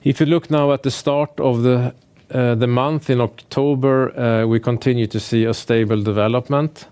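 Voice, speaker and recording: A man speaking as a finance executive presenting quarterly results, in a measured, businesslike and somewhat hesitant way. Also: mid-range voice, steady tone, darkish tone, smooth. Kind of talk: earnings call presentation, prepared remarks delivered semi-freely.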